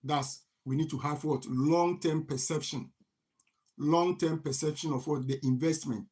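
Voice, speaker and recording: A man's voice: on the high side, steady tone, a little slow, darkish tone. Man, repeating rhetorical questions. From Ghana. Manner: repetitive, poses rhetorical "what?" questions and answers them. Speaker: farming channel host. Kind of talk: motivational finance talk to camera.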